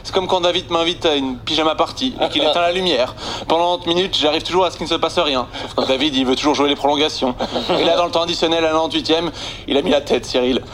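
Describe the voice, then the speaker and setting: High-voiced Belgian comic with a sly, punchy radio-column delivery; slight noise underneath. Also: male voice, fast, fairly bright tone. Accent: Belgian French. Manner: sarcastic, punchy joke delivery. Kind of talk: comic monologue on morning radio.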